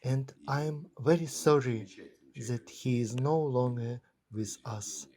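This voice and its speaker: A man speaking a dubbed documentary voice-over in a slow, solemn reading. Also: mid-range voice, expressive, mellow. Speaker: voice-over translator of an interviewee.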